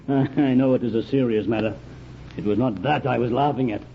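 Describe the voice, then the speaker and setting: An actor playing a bold, confident buccaneer captain in an old radio drama, with hearty archaic seafaring phrasing. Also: male voice, mid-range voice, moderate pace, natural voice. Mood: amused. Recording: dull, noisy old radio recording.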